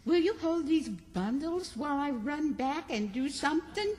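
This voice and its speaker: A woman's voice: high-pitched, lively intonation, moderate pace, a little mellow. A woman playing a folksy, brazen country spinster with a rural Southern American accent in an old-time radio comedy. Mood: eager. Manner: folksy, exclaiming, emphatic. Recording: stage performance in reverberant hall.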